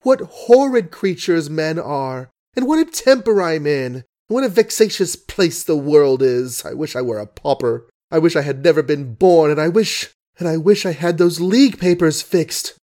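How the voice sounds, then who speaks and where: A man's voice: on the high side, expressive, normal speed, few pauses, a little mellow, pitched above the performer's own voice, lightly acted. A man voicing a proud, temperamental young heiress, speaking in a petulant, exasperated way.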